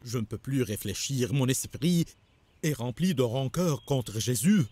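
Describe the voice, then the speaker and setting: An actor playing a resentful, bitter rabbi conspirator in a cartoon. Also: male voice, medium pitch, animated, a little slow, dark tone, no echo. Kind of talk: animated cartoon acting.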